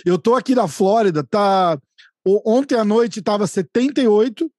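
A man with a Paulista accent hosts a relaxed interview, talking in a chatty, enthusiastic way full of slang. His voice sits high for a man and sounds dry and close, with no room echo. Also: normal speed. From São Paulo, Brazil. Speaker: host. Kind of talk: casual interview chat.

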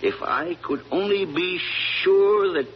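A man's voice: sits high, takes it slowly. An actor playing an older, stoic, folksy country father with a rural American accent, in an old-time radio drama. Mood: brooding, resolute. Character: weathered stoic rural hound-loving father, convicted of killing. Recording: dull, noisy old radio recording.

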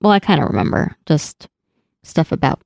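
Gravelly podcast co-host, dry mic. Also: mid-range voice, very animated, normal speed, a little mellow, no room sound. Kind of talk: casual chat.

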